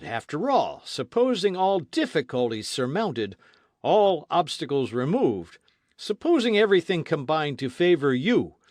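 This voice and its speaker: A man's voice: on the high side, no echo, studio quality, pitched above the performer's own voice. A man reading a book aloud, voicing a stubborn skeptic who keeps objecting insistently. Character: doubtful, stubborn male skeptic.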